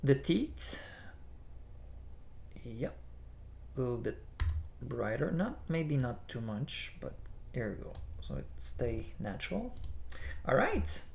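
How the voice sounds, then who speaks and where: A man's voice: mid-range voice, sing-song, gravelly. A man with a French accent, a native French speaker, walking viewers through photo editing presets in a tutorial, with frequent "you know" fillers. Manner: unhurried, explains step by step with many "you know" fillers. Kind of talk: screen-recorded software tutorial.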